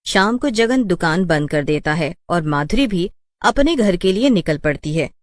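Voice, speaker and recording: A woman's voice: lowish voice, expressive, moderate pace, no echo, studio quality. A woman narrator telling a scripted story in a storytelling style.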